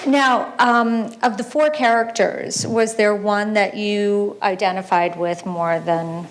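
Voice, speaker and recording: A woman's voice: mid-range voice, fairly even intonation, slow pace, some chatter behind, slight background noise. Woman, on-stage interviewer, short prompting questions.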